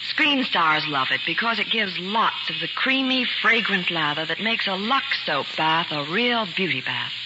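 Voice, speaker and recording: A woman's voice: on the low side, lively intonation, normal speed, few pauses. A woman giving scripted 1940s radio chat as a Hollywood reporter selling soap. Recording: dull, very noisy vintage radio recording.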